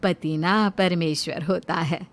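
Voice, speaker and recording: Woman playing an older village mother-in-law, lowered pitch, meek, earnest, gently admonishing, storybook drama. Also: steady tone, takes it slowly.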